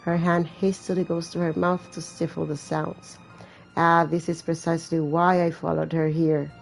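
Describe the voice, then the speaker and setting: A woman's voice: low-pitched, level tone, normal speed, gravelly, low-quality recording. A woman hosting a gaming video, reading game text aloud and breaking off for wry, blunt, casual asides. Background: faint game music.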